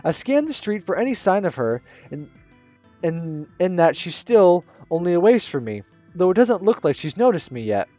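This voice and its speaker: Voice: medium pitch, sing-song, moderate pace, warm tone, dull audio, faint music behind. A gaming channel host narrating a visual novel aloud, stumbling and restarting as they read.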